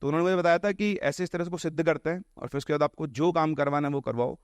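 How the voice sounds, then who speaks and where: A man's voice: on the high side, fast, dry recording, studio quality. A man telling ghost tales casually in an explanatory way, mixing in English words. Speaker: storyteller on a horror podcast.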